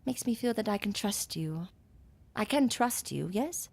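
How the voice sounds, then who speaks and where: A woman's voice: mid-range voice, lively intonation, unhurried, vocal fry, darkish tone, no echo. A woman playing an eccentric, imperious doctor in a gothic audio drama, with theatrical, archaic and knowing delivery. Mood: confiding, intense. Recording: music under the voice.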